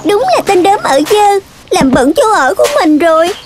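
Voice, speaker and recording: An actor voicing a quarrelsome, indignant little cartoon mouse in a squeaky childlike voice, with music behind. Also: animated, moderate pace, background noise.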